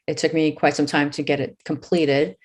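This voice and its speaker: Low-pitched woman, forties, from California, measured interview guest. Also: level tone, darkish tone. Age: 40s. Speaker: guest author. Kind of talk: casual interview.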